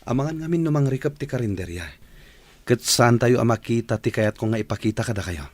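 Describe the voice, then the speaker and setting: A man playing a flustered, insistent engineer in a radio drama, speaking haltingly and dramatically with an Ilocano accent. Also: medium pitch, no echo, close to an everyday voice. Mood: urgent, insistent.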